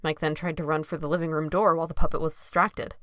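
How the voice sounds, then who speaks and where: A woman's voice: low voice, flat delivery, fast talker, a bit bright, no room sound, clean studio sound. A woman reading scripted story narration for an audio drama.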